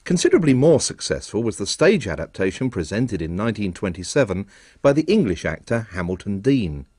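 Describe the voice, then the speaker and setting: A man presenting a radio arts documentary in measured, scripted narration. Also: on the low side, big pitch swings, a little mellow, husky, no echo, clean studio sound.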